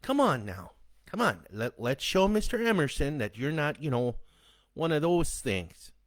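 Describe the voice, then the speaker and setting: Panicked husband, voiced by a man reading all roles: shrill, stammering pleas, jumpy and loud. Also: big pitch swings, a little slow, dry recording. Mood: pleading. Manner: frantic, stammering, high-pitched pleading. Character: panicked pleading middle-aged husband.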